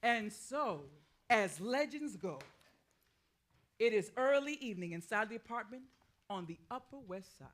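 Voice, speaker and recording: A woman's voice: on the high side, animated, unhurried, pauses often. A woman playing a knowing, folksy stage storyteller, delivering slangy, lilting, half-sung spoken word. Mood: knowing, rhythmic. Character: jazzy, knowing, folksy female storyteller addressing the crowd. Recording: guitar music behind the voice.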